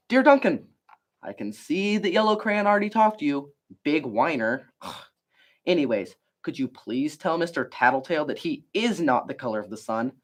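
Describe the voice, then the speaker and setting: A man's voice: on the high side, big pitch swings, normal speed. A smug, self-important storybook crayon, acted out by a man, talks with exasperated sarcasm. Its intonation swoops about as it puts down its rival. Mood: huffy smug. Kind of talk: children's picture book read-aloud.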